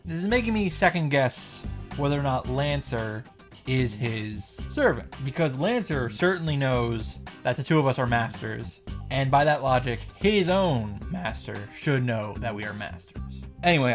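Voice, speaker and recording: A man's voice: medium pitch, lively intonation, moderate pace. A man giving a casual, opinionated story recap and commentary, with emphatic, sarcastic asides. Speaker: video creator recapping a visual novel. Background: background music.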